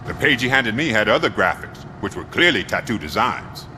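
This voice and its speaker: Wisecracking game mechanic: lowish but bright voice, casual and talkative, faint noise behind. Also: male voice, big pitch swings, fairly quick, exaggerated voice. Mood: chatty, wry. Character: chatty, wisecracking male car mechanic. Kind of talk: video game voice acting.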